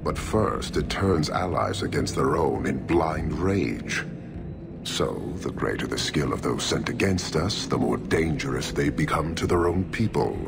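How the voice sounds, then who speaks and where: A man playing a stern, imposing giant warlord in a video game, speaking in measured, formal, contemptuous pronouncements. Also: a bass voice, expressive, gravelly, background noise, exaggerated voice. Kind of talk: video game cutscene dialogue.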